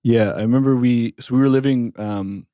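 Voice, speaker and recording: A man in his thirties, a jazz bassist, chatting in an interview with a loose, rambling storytelling manner. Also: fairly even intonation, moderate pace, mellow, smooth, no echo, studio quality. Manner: rambling storyteller, frequent "like" and restarts. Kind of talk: casual interview chat.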